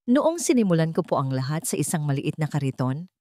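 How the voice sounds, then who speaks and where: A woman voicing a confident, sincere self-made businesswoman and mother in a dramatic story, speaking clearly and earnestly. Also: low voice, very animated, brisk, mellow, no room sound, clean studio sound. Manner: clear, earnest, formal speechmaking.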